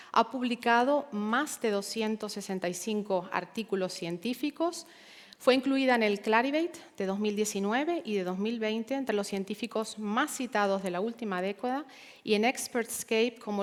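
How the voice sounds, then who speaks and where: Woman, event presenter, formal read introduction, measured pace, long hall reverb. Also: mid-range voice. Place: conference hall.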